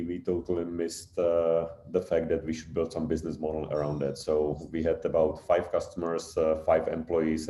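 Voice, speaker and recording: A deep-voiced man in his thirties with a Czech accent talks over a video call. His delivery stays flat and hesitant, full of fillers and restarts, and the audio has a roomy echo. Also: slight background noise. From Czech Republic. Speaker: guest speaker, engineer. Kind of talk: online info session talk.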